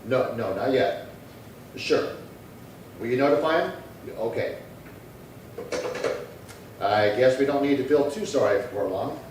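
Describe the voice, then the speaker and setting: A man playing a businesslike, deadpan police detective, delivering clipped dialogue and case narration in a 1950s-style radio crime drama. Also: medium pitch, a little slow, long pauses, lightly acted. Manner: clipped, deadpan, matter-of-fact. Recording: very echoey room, distant mic, faint noise.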